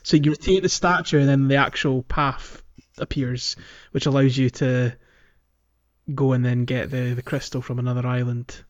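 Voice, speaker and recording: A man's voice: medium pitch, little pitch movement, normal speed. A man co-hosting a podcast, chatting casually about a game and thinking aloud in a hesitant way. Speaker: podcast co-host. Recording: dull lo-fi audio with music.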